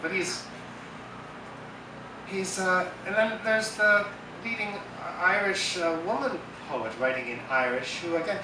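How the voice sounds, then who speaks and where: A man from the Hudson Valley reading poems slowly and feelingly at a festival, then chatting casually between them. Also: fairly high pitch, very noisy. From Hudson Valley, New York, US. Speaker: poetry reader at a festival. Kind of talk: poetry reading with casual asides. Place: festival stage. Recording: echoey, far from mic, loud music behind.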